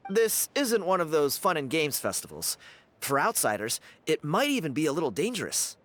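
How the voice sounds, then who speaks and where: Lively, cocky young dancer. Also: male voice, a little high, normal speed. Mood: casual. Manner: breezy, self-assured, chuckling. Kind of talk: video game character acting.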